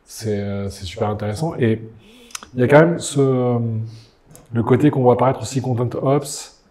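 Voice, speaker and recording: A man's voice: medium pitch, normal speed, mellow. A middle-aged man moderating a design panel, reflecting on points with wry asides before asking questions. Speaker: meetup host, moderator.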